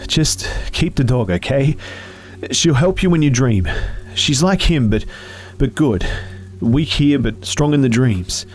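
A man's voice: medium pitch, animated, faint music behind, pitched above the performer's own voice, natural voice. A man voicing a distraught, tearful young man whose voice wavers and halts. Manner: shaky, wavering, halting.

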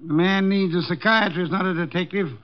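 A man's voice: high-pitched, fairly even intonation, fairly quick, natural voice. A man playing a lazy, pompous, peevish, very fat genius detective with ornate diction in a 1950s radio drama. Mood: pompous, grumbling. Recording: dull vintage radio recording.

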